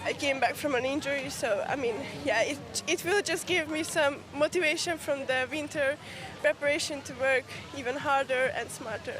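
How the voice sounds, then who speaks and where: High-voiced young female athlete, halting. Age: young adult. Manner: halting, searching for words. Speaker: athlete being interviewed. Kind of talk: casual post-race interview. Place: outdoors at a race venue. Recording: crowd and music behind.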